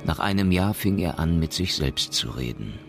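A man's voice: extremely low pitch, very animated, normal speed, vocal fry, music in the background. A man narrating a science fiction radio play in German as a scripted storyteller.

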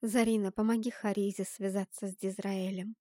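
A woman's voice: mid-range voice, level tone, moderate pace, dark tone, no echo, clean studio sound, lightly acted. A woman voicing a stern, protective fantasy heroine, firm, emphatic and tense, in an audiobook story. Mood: urgent.

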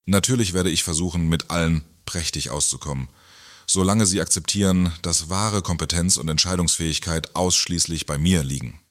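An actor playing a pompous, irritable, controlling company director in a satirical radio play. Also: male voice, low voice, moderate pace, a bit bright. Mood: smug, imperious. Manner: pompous, self-important. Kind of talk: German radio play acting.

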